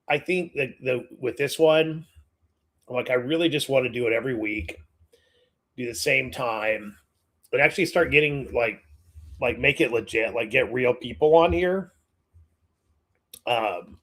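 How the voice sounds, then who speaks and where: A man hosting a casual video call chat, talking in a rambling, halting way with many fillers and restarts. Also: on the high side, a bit bright. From Washington state. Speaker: host.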